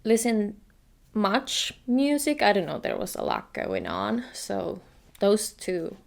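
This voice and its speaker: A woman's voice: medium pitch, wildly swooping pitch, slow pace, vocal fry. A young woman from Finland with a Finnish accent, chatting casually in a vlog and laughing often. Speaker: vlogger.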